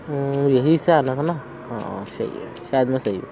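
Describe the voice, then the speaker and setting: Young male host, relaxed let's-play chatter, light faint background noise. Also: mid-range voice, moderate pace. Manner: chatty, thinks aloud while playing. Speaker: gaming channel host. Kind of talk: casual let's-play commentary.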